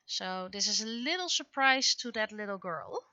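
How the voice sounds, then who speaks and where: A woman from the Netherlands, chatting casually and haltingly on a livestream as she draws, thinking aloud. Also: mid-range voice, lively intonation, pushed and bright, muffled recording. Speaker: livestreaming webcomic artist. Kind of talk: casual livestream chat while drawing.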